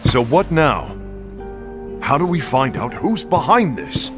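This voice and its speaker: An actor playing a brash, scornful young rival in a fantasy game, blunt and confrontational in delivery. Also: male voice, mid-range voice, wildly swooping pitch, takes it slowly, over music. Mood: impatient. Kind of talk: scripted game cinematic acting.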